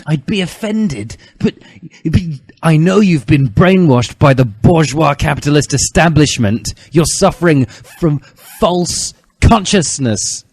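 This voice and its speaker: A man voicing a self-righteous teenage communist zealot in a comic dramatic reading, indignant and emphatic with drawn-out words. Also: on the high side, expressive, a little mellow, breathy edge, dry recording, pitched above the performer's own voice, full character voice. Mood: preachy, insistent.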